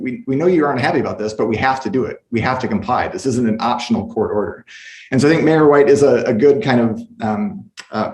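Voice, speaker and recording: Male academic panelist, medium pitch, analytical and explanatory, recorded with noticeable room echo. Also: darkish tone. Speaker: professor, panelist. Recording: roomy, echoing sound.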